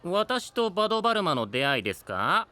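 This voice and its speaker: Casting: sly, courteous male knight, bright and animated, playful needling, anime-style audio drama. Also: sits high, fairly quick, dry recording, heavily acted. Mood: playful teasing. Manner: courteous wording, smug and playful. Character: sly, polite, teasing young male knight.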